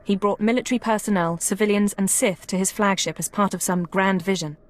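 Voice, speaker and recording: An actress playing a young, efficient intelligence analyst in a video game, with a clipped, precise, earnest manner. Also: female voice, mid-range voice, fairly even intonation, a little fast, natural voice. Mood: sober.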